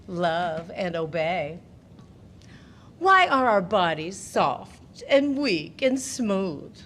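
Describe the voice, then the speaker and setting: An actress playing Kate, a tamed shrew who lectures other women, in a slow, declamatory Shakespearean delivery. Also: female voice, medium pitch, slight background noise. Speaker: actress in a live audio play. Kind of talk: Shakespearean stage acting.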